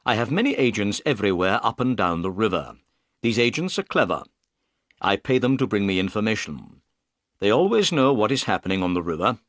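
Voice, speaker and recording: A man's voice: fairly low pitch, very animated, fairly quick, fairly bright tone, no echo, studio quality. A man voicing a composed, courteous, shrewd detective in an audiobook story, polite and confident. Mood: decisive, confident.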